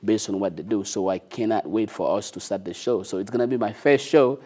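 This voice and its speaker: A man's voice: on the low side, brisk, warm tone, breathy edge. A young man whose first language is not English, speaking earnestly and eagerly as a guest in a studio interview on a lapel mic. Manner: earnest, eager, many short affirmations. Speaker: guest, city councilor. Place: TV studio with dark curtains.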